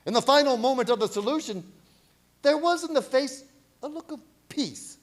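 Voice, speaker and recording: A man's voice: very high-pitched, lively intonation, moderate pace. A man playing a commanding vampire-hunting professor in a radio play, declaiming with dramatic intensity. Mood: solemn. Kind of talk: radio play horror acting.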